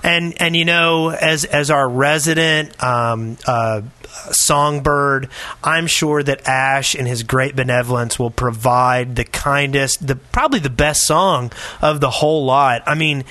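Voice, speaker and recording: A man co-hosting a casual group podcast, talking haltingly and often repeating his words. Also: medium pitch, steady tone, bright, forceful tone, no room sound. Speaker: podcast co-host.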